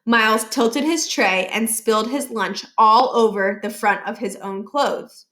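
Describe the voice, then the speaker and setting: A woman reading a children's book aloud in an expressive storytelling style. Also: on the high side, a little slow. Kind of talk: expressive read-aloud narration.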